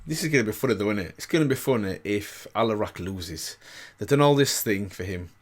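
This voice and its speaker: A man's voice: fairly low pitch, animated, brisk, faint music behind. A man hosting a reaction channel, giving casual, chatty commentary and repeating himself for emphasis.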